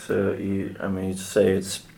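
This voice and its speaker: A man's voice: low voice, completely flat delivery, measured pace, creaky, darkish tone. A man interviewing someone and asking follow-up questions.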